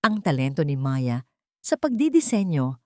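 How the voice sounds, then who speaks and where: A woman reading a scripted dramatic story as narrator, in a measured, solemn storytelling manner. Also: deep voice, very animated, no room sound, studio quality.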